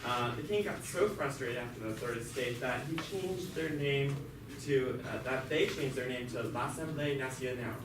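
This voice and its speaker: A man's voice: mid-range voice, moderate pace, close to an everyday voice. A man playing a talkative jailed revolutionary who narrates his story in an amateur puppet show with sardonic, stumbling asides. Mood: sardonic storytelling. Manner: conversational, sardonic asides, stumbling over words. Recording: echoey, very noisy, distant mic.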